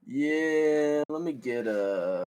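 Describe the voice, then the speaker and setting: A man's voice: on the high side, lively intonation, drawn-out words, darkish tone, clear, resonant tone. A man playing an eager, scheming young stoner slacker in a comedy table read, with casual, slangy delivery.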